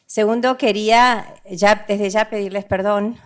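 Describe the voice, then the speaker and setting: A woman's voice: mid-range voice, steady tone. A woman from Argentina giving a semi-improvised conference talk, frank and emphatic, often correcting herself and mixing English words into her Spanish. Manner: halting, self-correcting, checks in with rhetorical tag questions. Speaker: conference speaker, development economist.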